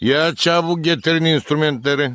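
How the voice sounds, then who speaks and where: A man's voice: on the high side, normal speed, music in the background, natural voice. A man voicing a kindly old village grandfather in a cartoon, encouraging, with a storyteller's manner. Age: older. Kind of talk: cartoon character acting.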